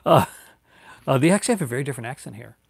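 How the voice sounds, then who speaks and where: A man's voice: mid-range voice, animated, rapid-fire, gravelly, breathy edge, no room sound. Man, 40s, light Newfoundland accent, rambling live-stream chat, dry close sound, webcam at home. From Newfoundland, Canada. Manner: rambling, many fillers and restarts. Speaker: YouTuber answering viewer chat. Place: home room with bookshelves, indoors.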